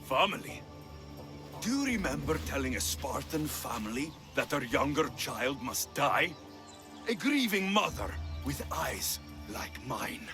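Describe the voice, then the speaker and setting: Stern, blunt Greek warrior hero. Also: male voice, mid-range voice, very animated. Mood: accusing. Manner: measured, blunt, serious. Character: stern, world-weary mercenary hero of ancient Greece. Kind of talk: dramatic video game cutscene acting. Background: music.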